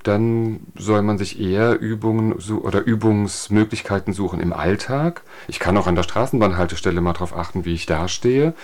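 A man with a fairly low voice speaks as a guest in a radio interview. He explains speech practice in a measured, teacherly manner and reaches for everyday examples. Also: a little mellow. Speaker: speech trainer at a university.

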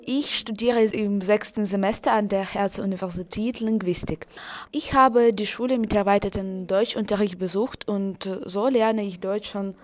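A young woman, a linguistics student introducing herself in a measured, clear way. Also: mid-range voice. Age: young adult. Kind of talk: self-introduction.

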